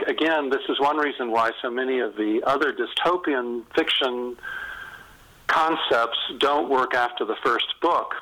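A man's voice: medium pitch, sharp tone, poor audio. A man speaking as an author guest in a conversational podcast interview, telling stories candidly.

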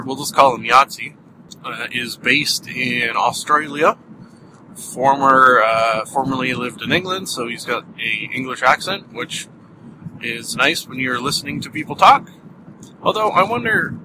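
A man's voice: medium pitch, noisy background. A man with a Canadian accent hosting a casual solo podcast, rambling with many fillers and small chuckles. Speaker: podcast host.

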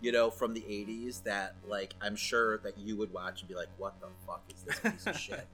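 A man's voice: fairly low pitch, normal speed, bright, forceful tone, soft background music, rough recording. A man in his forties co-hosting a podcast, debating films casually in a blunt, teasing way with some swearing. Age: 40s. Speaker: podcast co-host.

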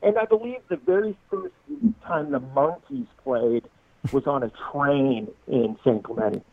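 A man's voice: mid-range voice, lively intonation, unhurried. A man in his forties from Southern California, a musician guest talking in a rambling, jokey way and laughing often. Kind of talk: casual podcast interview. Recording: tinny, dry phone-like line.

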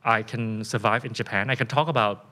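Thai-accented male guest, lively storyteller, casual interview. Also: fairly low pitch, brisk. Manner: animated, laughing storyteller with many restarts.

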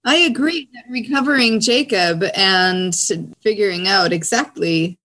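A woman playing a tabletop roleplaying game in character, speaking in measured, articulate and rather formal sentences. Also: medium pitch, bright, forceful tone.

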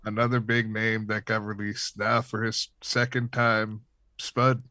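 A man talking casually as a podcast co-host, in an easygoing, measured way. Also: lowish voice, flat delivery, pushed and bright, no room sound, studio quality. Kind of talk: casual wrestling chat.

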